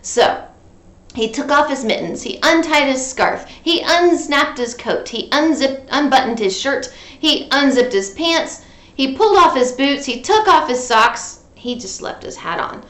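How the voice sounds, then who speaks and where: A woman's voice: high voice, lively intonation, a little slow, fairly bright tone, echoey room. A woman reading a picture book to children in a playful, interactive way, coaxing listeners along. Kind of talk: animated children's read-aloud with sung refrains and asides.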